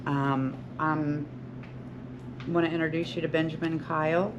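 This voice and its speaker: A woman's voice: low-pitched, flat delivery, measured pace. Encouraging woman in her sixties, noisy room. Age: 60s. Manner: earnest, encouraging, rambling with restarts. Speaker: hairstylist and salon owner. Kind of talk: talk to beauty school students. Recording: lo-fi with loud background noise.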